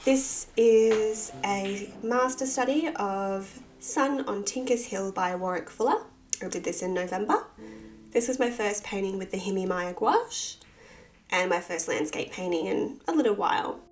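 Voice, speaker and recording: A woman, husky from a cold with a bit of vocal fry, chatting casually and self-deprecatingly through a sketchbook tour. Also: mid-range voice, a little slow. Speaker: artist and channel host.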